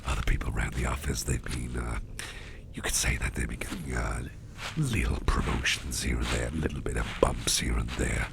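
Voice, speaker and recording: A man doing a gravelly, mischievous boss with sharp teeth. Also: extremely low pitch, fairly even intonation, fairly quick, background noise, heavily acted. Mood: confiding. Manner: soft half-whispered, teasing and chummy. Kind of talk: ASMR roleplay.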